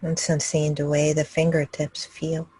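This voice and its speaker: A woman meditation teacher guiding a group gently and calmly, speaking in short, unhurried phrases with long pauses. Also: low voice, breathy edge, no echo. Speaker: meditation teacher leading a live group session. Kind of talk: guided meditation, slow and gentle instruction.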